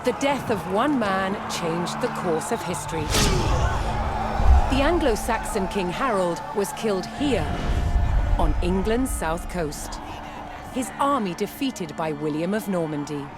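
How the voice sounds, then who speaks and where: A woman narrating a scripted history documentary in a measured, dramatic storytelling style. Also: mid-range voice, animated. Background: loud music behind the voice.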